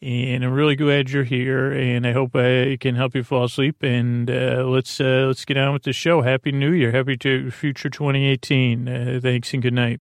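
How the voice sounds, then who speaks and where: Male bedtime podcast host: gravelly, flat-pitched, lulling, with meandering hesitant introductions. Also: moderate pace, hardly any pauses, no room sound. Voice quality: creaky. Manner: meandering, hesitant, lulling delivery with self-corrections.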